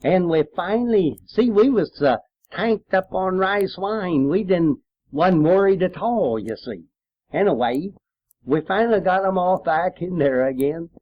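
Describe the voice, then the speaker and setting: Older man, Southern American accent, high voice, slow drawn-out delivery, folksy veteran storyteller, informal and rambling. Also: animated, no echo, dull audio. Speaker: World War II veteran telling memories. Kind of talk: informal oral-history storytelling.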